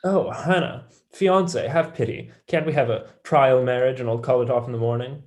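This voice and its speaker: A man's voice: medium pitch, moderate pace, darkish tone, natural voice. A student actor as a wry young male scholar. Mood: teasing, pleading. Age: young adult. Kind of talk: staged play reading.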